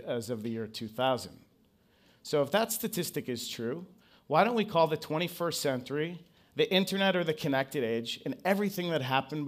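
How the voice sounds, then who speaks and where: A man speaking English as his first language, giving a conference presentation and drawing the audience in with questions. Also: medium pitch. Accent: non-Brazilian English accent. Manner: engaging, asks the audience to raise hands. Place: conference stage.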